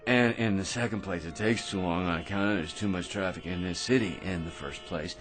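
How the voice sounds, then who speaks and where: An actor playing a tipsy, cheeky barroom blowhard who rambles, stammers and wheedles in an old-time radio drama style. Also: male voice, low voice, brisk, fairly bright tone. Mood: tipsy, opinionated. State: drunk. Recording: music behind radio drama dialogue.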